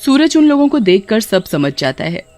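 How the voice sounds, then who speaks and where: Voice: medium pitch, very animated, normal speed, a little mellow. A narrator reading a scripted horror tale for animation in a dramatic storytelling style.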